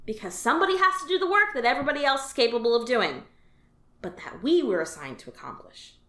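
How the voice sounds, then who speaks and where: A woman's voice: fairly high pitch, sing-song, fast talker, pushed and bright. Woman voicing dry, resigned alien office veteran Bethany. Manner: dry, matter-of-fact, resigned. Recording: roomy echo.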